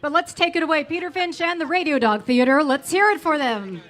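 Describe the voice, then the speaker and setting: A woman's voice: sits high, a little fast, a bit bright. A woman presenting at a live event, casually introducing performers and joking along. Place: live before an audience.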